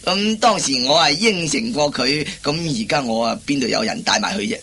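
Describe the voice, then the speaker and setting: An actor playing a timid, henpecked husband in a radio comedy, stammering and repeating himself. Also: male voice, medium pitch, animated, normal speed, sharp tone, breathy edge, heavily acted. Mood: hesitant, embarrassed. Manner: stammering, hesitant, repeats his words. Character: henpecked, timid, flustered husband and office worker. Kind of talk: Cantonese radio comedy acting.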